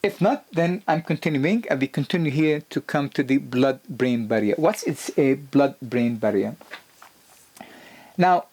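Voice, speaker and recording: A man lecturing to the camera about health in a husky voice. His pitch jumps up on key points, and he talks with restarts and fillers. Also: a little mellow. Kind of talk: explanatory lecture video.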